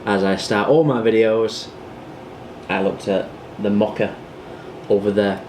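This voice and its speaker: A man's voice: fairly low pitch, fairly even intonation, a little slow, darkish tone, slight background noise, poor audio. A British man in his twenties, chatting casually to the camera in a rambling vlog style with plenty of fillers. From United Kingdom. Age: 20s. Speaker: vlogger.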